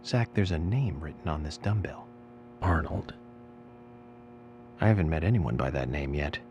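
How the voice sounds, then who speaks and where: A man's voice: extremely low pitch, normal speed, highs cut off, noisy background. An actor playing a composed adult male FBI agent who muses aloud to an unseen partner in a video game cutscene. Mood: musing. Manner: calm and composed, musing aloud to someone unseen. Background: game music.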